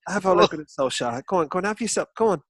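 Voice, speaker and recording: A man's voice: sits high, expressive, very fast, fairly bright tone, dry recording, studio quality. A man co-hosting a football podcast, chatting casually with irreverent, sweary, joking banter.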